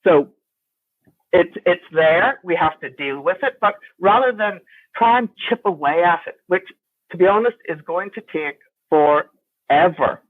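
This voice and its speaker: A woman's voice: fairly low pitch, animated, a little slow, no room sound. A woman with a Northern Irish accent, a guest telling a long, chatty story in an interview. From Northern Ireland. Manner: chatty and anecdotal, with frequent restarts. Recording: tinny phone-like line, dry.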